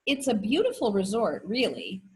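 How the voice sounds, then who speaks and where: A woman's voice: mid-range voice, big pitch swings, normal speed, close to an everyday voice. A woman voicing a friendly, easily exasperated male chef and father from a children's book, chatty and emphatic.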